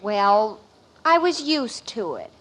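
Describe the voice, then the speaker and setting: An actress playing an easily mortified, indignant teenage daughter in a 1950s American radio sitcom, melodramatic and protesting. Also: female voice, fairly high pitch, sing-song, slow pace, fairly bright tone, heavily acted. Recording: noisy vintage 1950s radio recording.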